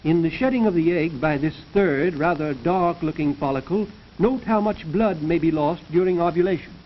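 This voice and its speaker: Male narrator, high-pitched, formal and measured, 1930s instructional film style, muffled vintage soundtrack. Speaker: narrator of an educational science film. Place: recorded voice-over for a film.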